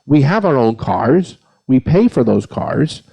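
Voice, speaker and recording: A man's voice: medium pitch, sing-song, a little slow, gravelly, mellow, slightly rough. A man speaking as a priest on a panel, giving an informal, plain-spoken explanation point by point. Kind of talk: informal explanatory answer to a question.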